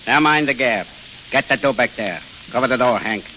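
An actor playing a cold, commanding gangster boss who gives curt, menacing orders in 1930s radio style. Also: male voice, medium pitch, expressive, a little fast, bright, forceful tone, big-room sound. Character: cold, commanding male gangster boss leading a bank robbery. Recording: muffled, hissy 1930s radio recording, crowd behind.

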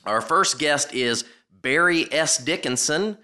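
Upbeat male podcast host for an improv comedy bit; bright and animated. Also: on the high side, takes it slowly.

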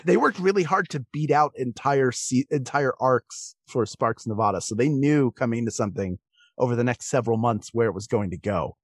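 A man's voice: mid-range voice, expressive, fairly quick, breathy edge, dry recording, clean studio sound. A man talking casually as a guest on a podcast, conversational and repeating words for emphasis.

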